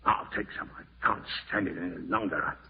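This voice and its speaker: A man's voice: sits low, moderate pace, gravelly. An actor playing a bitter, irritable man, grumbling and scornful in the overwrought style of 1940s radio horror. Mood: sleepless, desperate. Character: bitter, irritable man resenting his wife and his lot. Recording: noisy, tinny old radio broadcast recording.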